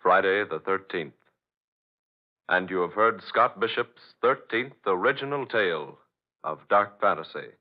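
A man announcing in scripted 1940s radio style, measured, dramatic and portentous. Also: low-pitched, lively intonation. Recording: dull, lo-fi old radio recording.

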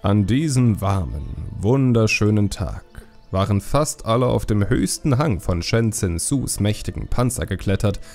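Male audiobook narrator: lowish pitch, lively intonation, expressive fantasy storytelling over soft music. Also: unhurried, hardly any pauses.

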